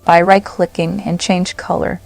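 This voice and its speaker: A woman narrating a software tutorial step by step, in short, simple instructional phrases. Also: lowish voice, little pitch movement, measured pace, vocal fry, soft background music.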